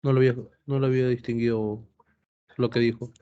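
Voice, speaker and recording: A man's voice: medium pitch, little pitch movement, a little fast, mellow, dull audio. A male medical student asking hesitant questions in class, restarting his sentences.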